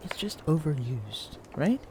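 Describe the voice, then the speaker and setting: A young man playing a shy, clumsy, bookish librarian in an intimate audio roleplay, speaking in a hesitant, stammering, hushed way. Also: a little high, sing-song, measured pace, a little hiss or hum. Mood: eager, sheepish. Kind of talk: intimate audio roleplay acting addressed to the listener. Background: quiet library ambience.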